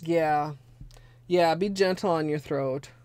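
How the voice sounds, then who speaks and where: A hoarse young woman chatting casually through a nail art tutorial at her desk at home, rambling with lots of fillers. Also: sits low, takes it slowly, darkish tone, dry recording. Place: small home room with space heater.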